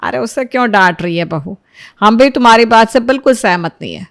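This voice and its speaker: A woman's voice: fairly high pitch, expressive, brisk, dry recording, clean studio sound. A woman voicing a firm, protective older mother-in-law in a moral story, stern and insistent.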